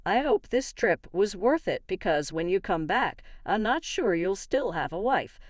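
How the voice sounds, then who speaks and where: Woman acting an indignant wronged wife, lively intonation. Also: mid-range voice, a little slow, no room sound, clean studio sound. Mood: bitter, firm. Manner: argumentative, emphatic. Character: wronged, indignant young wife confronting her in-laws. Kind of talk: acted dialogue in a narrated story.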